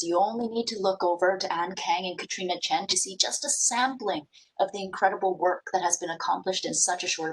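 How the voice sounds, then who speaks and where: Woman, Canadian-born, politician, campaign speech, earnest, husky, lowish voice. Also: low-quality recording. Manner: earnest, listing achievements steadily. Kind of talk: campaign speech at online panel.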